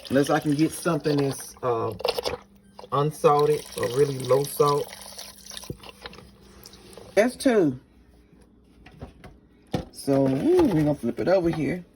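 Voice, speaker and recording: A woman with a raspy voice, chatting casually to her viewers in a home kitchen cooking vlog. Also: low-pitched, animated, slow pace, pauses often, background noise. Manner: chatty, talks straight to viewers. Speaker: cooking vlogger.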